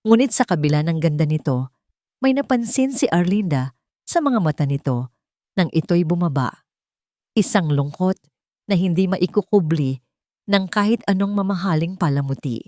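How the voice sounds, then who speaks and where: A woman's voice: on the low side, a little mellow, dry recording, studio quality. A woman reading a scripted, dramatic love story as a storyteller. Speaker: storyteller, narrator.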